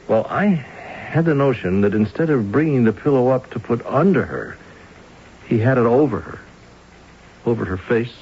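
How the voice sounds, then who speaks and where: A man plays an affable helicopter pilot giving an eyewitness account in an old radio drama. He stammers and doubles his words in a folksy way, his pitch jumping about. The recording is dull, with clear background hiss. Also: expressive, moderate pace, natural voice. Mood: unsure, uneasy. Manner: hesitant, folksy, stammering over repeated words. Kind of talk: 1970s radio drama acting. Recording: dull, hissy vintage radio broadcast.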